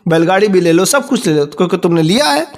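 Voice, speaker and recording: A man's voice: high-pitched, lively intonation, quick pace. A man voicing a crafty, vengeful young farmer's son in a folk story, stubbornly repeating his demands. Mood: angry, stubborn. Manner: insistent, repetitive demanding.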